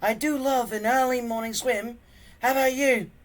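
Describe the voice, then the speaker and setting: A reader doing a very high-pitched, wry boy sidekick. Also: fairly even intonation, normal speed, lightly acted. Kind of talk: children's storybook reading.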